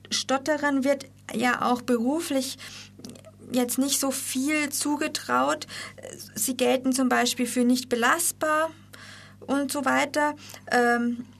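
A woman co-hosting a radio talk show, speaking haltingly with frequently stuttered syllables. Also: high-pitched, a bit bright. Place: radio studio.

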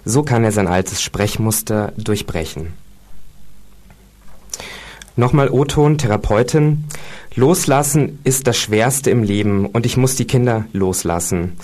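A man's voice: lowish voice, a little slow, darkish tone. A man co-hosting a radio show, talking casually with many fillers and reading quotes aloud. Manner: hesitant, with fillers.

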